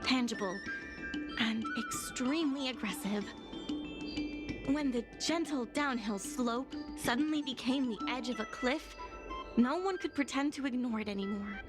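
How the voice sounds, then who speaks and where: An actress playing an earnest, worried young female guild secretary in a video game, speaking in a polite, measured, articulate way. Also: fairly high pitch, animated. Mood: somber recounting. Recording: music playing behind the voice.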